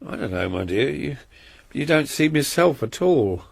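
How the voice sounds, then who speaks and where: An actor playing a kindly, fretful middle-aged husband who speaks gently and with concern. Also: male voice, mid-range voice, sing-song, normal speed, creaky, slightly rough, heavily acted. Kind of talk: radio drama acting.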